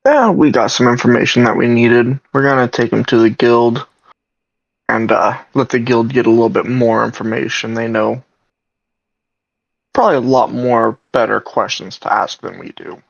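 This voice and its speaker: A man's voice: mid-range voice, normal speed, vocal fry. A man playing a tabletop game, talking casually through his moves, with a dull, muffled sound.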